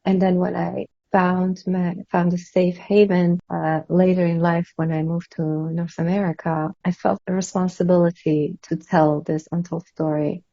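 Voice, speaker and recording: A woman's voice: on the low side, level tone, moderate pace, creaky, hardly any pauses, warm tone, no echo, lo-fi sound. A woman from Iran whose first language is Kurdish, answering interview questions as an author in an earnest, reflective way. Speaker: author guest.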